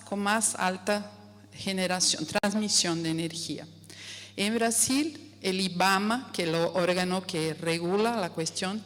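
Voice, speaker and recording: A woman from Brazil with a Brazilian Portuguese accent, speaking Spanish as a second language in an audience question, halting and searching for words. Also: medium pitch. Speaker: audience member asking a question. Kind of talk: spontaneous remarks in a lecture Q&A. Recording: echoey hall, distant mic, noisy.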